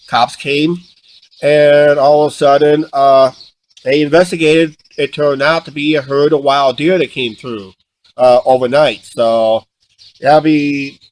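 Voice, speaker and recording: Man, slightly raised pitch, casual storyteller, repeats and restarts phrases. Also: little pitch movement, darkish tone. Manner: halting, repetitive, restarts sentences often. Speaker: vlog co-host.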